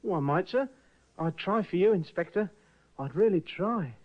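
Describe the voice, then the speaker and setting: An actor playing a frightened little ship's cook, deferential and stammering, in an old radio drama. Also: male voice, sits high, expressive, normal speed, heavy background noise. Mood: nervous. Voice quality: deferential, stammering, keeps saying sir. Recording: muffled old radio broadcast.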